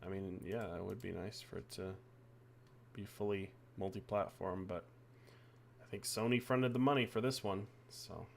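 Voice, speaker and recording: A hoarse man with a groggy voice, co-hosting a live game stream, chatting casually and reading out viewer comments. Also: low voice, fairly even intonation, normal speed, a little hiss or hum. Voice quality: groggy, gravelly. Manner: reads viewer comments aloud. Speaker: stream co-host. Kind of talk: casual gaming chat.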